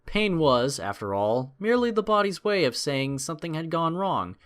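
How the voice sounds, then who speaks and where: A man's voice: a little high, very animated, normal speed, fairly bright tone. A man narrating a scripted adventure story in a dramatic storytelling style.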